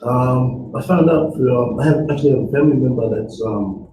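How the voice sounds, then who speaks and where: A man's voice: medium pitch, a little fast, warm tone, soft background music. A young man with a New Zealand accent, a first-year trade student, answering a little hesitantly. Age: young adult. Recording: echoey room, distant mic, dull sound.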